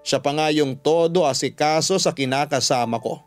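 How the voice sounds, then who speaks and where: Higher-voiced Filipino male storyteller. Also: moderate pace. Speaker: radio drama narrator. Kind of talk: scripted first-person story narration. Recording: music under the narration.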